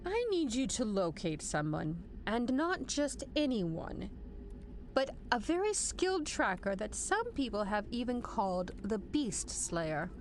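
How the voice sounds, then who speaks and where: A woman's voice: a little high, very animated, unhurried, slight background noise. A woman playing a stern military general in an audio drama, formal and authoritative with a dry, wry edge. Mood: grave, deliberate. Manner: formal, authoritative briefing delivery. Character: stern, commanding female military general, measured and dryly wry. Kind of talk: scripted audio drama acting.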